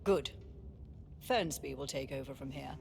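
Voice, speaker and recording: An actress playing a stern older lady of a wealthy household, formal and measured, in a video game. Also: very deep voice, creaky, darkish tone, heavily acted. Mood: grave, brisk. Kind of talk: video game cutscene acting.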